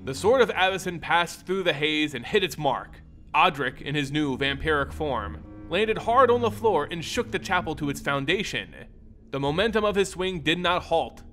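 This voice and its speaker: Male storyteller for scripted fantasy. Expressive, dramatic, a little higher-voiced, lively intonation. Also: moderate pace, faint music behind. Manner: theatrical, expressive.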